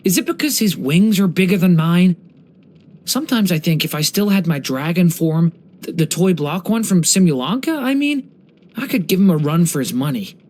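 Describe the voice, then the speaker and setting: An actor plays a young boy who was once a small dragon, a gentle and earnest video game character. The voice sits in a middle register and moves with very lively, varied intonation. Each line is told carefully, with innocent wonder and a soft heart. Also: medium pitch, moderate pace, close to an everyday voice. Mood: wondering, playful. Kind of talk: scripted game character voice lines.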